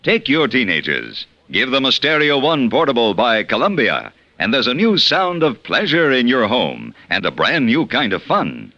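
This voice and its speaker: A man's voice: mid-range voice, huge pitch swings, normal speed, hardly any pauses, sharp tone, dull audio, slight background noise. A man announcing a 1950s radio commercial with polished, emphatic salesmanship. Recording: dry, band-limited vintage radio.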